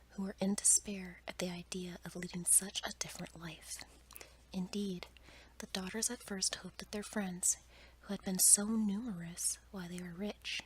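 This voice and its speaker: A woman reading a fairy tale aloud as an ASMR bedtime story in a soft, breathy, unhurried whisper. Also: mid-range voice, poor audio. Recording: clear background noise, ambient sound.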